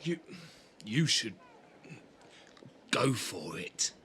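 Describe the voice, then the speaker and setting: An actor playing a chirpy, awkward, apologetic travelling companion in an audio drama, eager and bumbling. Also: male voice, medium pitch, big pitch swings, very slow, sharp tone, slight background noise, full character voice. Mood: awkward, encouraging.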